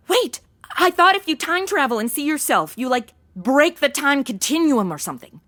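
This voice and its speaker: A woman acts a young American valley-girl type in a comedy radio play. The voice is high and swoops around, blunt, casual and easily exasperated. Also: sing-song, normal speed, a bit bright. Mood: puzzled. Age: young adult. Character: flighty, slangy young modern woman, easily rattled. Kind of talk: comedy audio drama acting.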